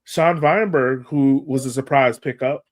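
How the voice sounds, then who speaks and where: A man's voice: mid-range voice, unhurried. Male American podcast co-host, casual sports talk, mid-range voice.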